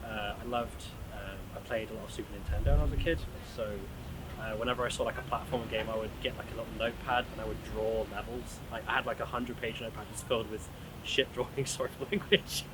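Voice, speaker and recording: A man's voice: fairly low pitch, fairly even intonation, fast. A man chatting informally as an interviewee in a street interview. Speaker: interviewee, graphic designer. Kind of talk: casual street interview chat. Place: outdoors in a city street. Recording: clear traffic noise outdoors.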